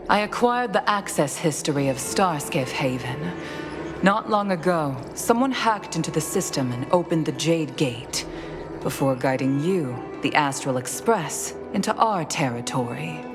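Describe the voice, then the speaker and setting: Stern, low-voiced female commander. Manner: formal, firm, measured. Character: stern, authoritative middle-aged female commander, formal and unyielding. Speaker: guild leader in a sci-fi fantasy game. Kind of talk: scripted video game dialogue. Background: background music.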